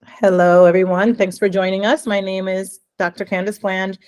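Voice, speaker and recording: A woman's voice: mid-range voice, flat delivery, moderate pace, a little mellow. A middle-aged woman from New Jersey, presenting in a webinar as an education consultant, enthusiastic and full of "um" fillers. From New Jersey, USA. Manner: enthusiastic, uses many "um" fillers.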